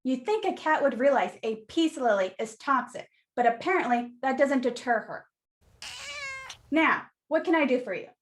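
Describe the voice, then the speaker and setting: Woman playing sassy flower shop lady in a radio play, really high voice, blunt n teasing. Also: lively intonation, a little hiss or hum. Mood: mock stern, then wry, prodding. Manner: brisk, wry, teasing.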